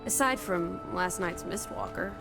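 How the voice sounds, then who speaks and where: A woman's voice: lowish voice, measured pace, vocal fry. An actress playing a breezy, teasing young woman companion in a 1920s video game drama, wry and bossy but caring. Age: young adult. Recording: music behind the voice.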